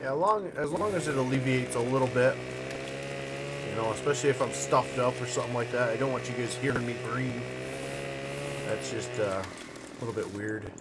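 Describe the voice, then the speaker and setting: A man streaming a game, chatting in a relaxed, rambling way and chuckling now and then, his voice congested from a stuffed-up nose. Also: medium pitch, normal speed, long pauses. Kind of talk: casual commentary while playing. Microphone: streaming mic covered with a sock. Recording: loud game noise behind voice. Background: chainsaw and vehicle sounds from the game.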